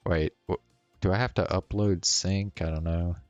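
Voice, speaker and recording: A man's voice: low-pitched, steady tone, moderate pace, darkish tone, no echo, lo-fi sound, faint music behind. A man streaming a visual novel, reading aloud and chatting in a casual way. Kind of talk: narrated read-aloud and casual sign-off.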